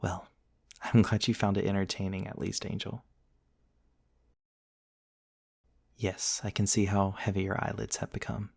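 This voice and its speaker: A man playing a gentle, courteous centuries-old vampire prince in an intimate ASMR roleplay, soft-spoken and formal, with hesitant pauses. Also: on the low side, little pitch movement, vocal fry, husky, no echo, studio quality. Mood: tender, soothing. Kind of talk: intimate ASMR roleplay, storytelling to one listener.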